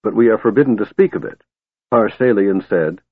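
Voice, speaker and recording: A man's voice: fairly low pitch, lively intonation, brisk, husky, narrow, tinny audio. A man voicing a big, devoted twin brother in a storybook, wretched, faltering and pleading. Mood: fearful.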